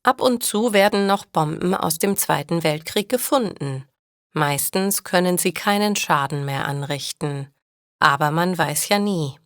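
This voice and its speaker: A calm, reassuring policeman, read by a woman in a storybook. The speech is very slow and measured, slightly low for a woman's voice. The recording is dry. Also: dry recording.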